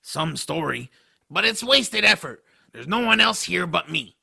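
A man voicing a bitter, sarcastic male troll in an audio drama, with a harsh gravelly voice and a grumbling manner. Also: high voice, sing-song, a little slow, sharp tone, full character voice. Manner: sardonic, grumbling.

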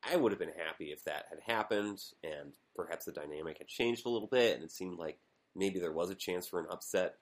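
A man's voice: sits low, a little fast. A man co-hosting a podcast, chatting casually and rambling through his commentary with a self-deprecating edge. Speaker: podcast co-host. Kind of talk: casual chat.

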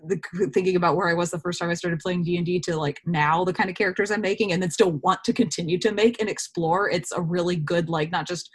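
Low-pitched woman chatting in an interview. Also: steady tone, fast talker, hardly any pauses, breathy edge. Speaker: interview guest, actor. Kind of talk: casual conversational interview.

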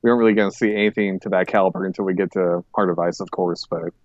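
A man's voice: low voice, steady tone, rapid-fire, dry recording, dull audio. A man co-hosting a podcast in a casual review chat, rambling, hesitant and often correcting himself. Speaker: podcast co-host.

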